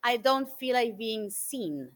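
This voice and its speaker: A woman in her forties from Argentina, guest on an interview show, telling stories in an animated, thoughtful way with many fillers. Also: fairly high pitch, slow pace. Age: 40s. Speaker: guest speaker. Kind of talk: interview answers, storytelling.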